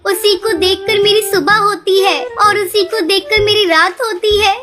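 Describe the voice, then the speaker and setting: Woman as a cartoon mother bird, very high childlike pitch, earnest, emotional, pleading, music behind. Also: fairly even intonation, a little slow. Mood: distressed, pleading. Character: gentle, emotional female bird, wife and doting mother. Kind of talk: Hindi children's cartoon story acting.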